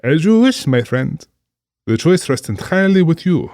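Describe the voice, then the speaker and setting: An actor playing a cultured, genially menacing general and big-game hunter in a dramatized audiobook, genteel, theatrical and condescending. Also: male voice, on the high side, very animated, moderate pace, darkish tone, no room sound, studio quality. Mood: coaxing.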